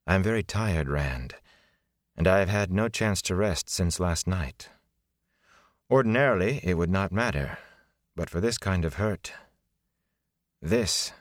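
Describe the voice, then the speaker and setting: A man voicing a composed, weary sorceress who speaks in a measured way. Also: sits low, animated, no room sound, clean studio sound. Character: calm, weary female sorceress.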